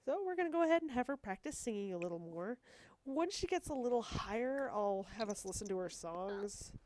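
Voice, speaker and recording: A woman's voice: medium pitch, expressive, a little fast, a little mellow. A woman with a cold, a bit stuffed up and wheezy, chatting casually as she plays a game and apologizes often. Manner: chatty, self-deprecating, apologetic asides. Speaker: gaming video host. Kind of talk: casual rambling gameplay commentary.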